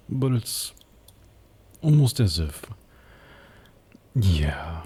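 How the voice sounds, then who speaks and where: A man acting out a smug, flirty male demon who taunts a captive in a husky, teasing, menacing drawl for an ASMR roleplay. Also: deep voice, dark tone, heavily acted. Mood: intrigued, condescending. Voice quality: husky, breathy. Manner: slow, teasing, menacing drawl with dramatic pauses.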